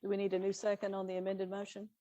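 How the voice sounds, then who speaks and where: Woman, medium pitch, meeting chair, informal, tentative and halting, light noise on online call. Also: completely flat delivery. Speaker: commission chair. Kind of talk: informal public meeting discussion. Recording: faintly noisy online meeting audio.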